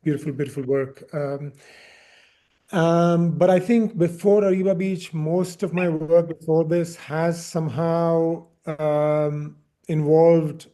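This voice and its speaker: A man's voice: a little high, fairly even intonation, slow pace, dark tone. A man from India speaking as an interviewed film director, reflective, often repeating words as he searches for phrasing.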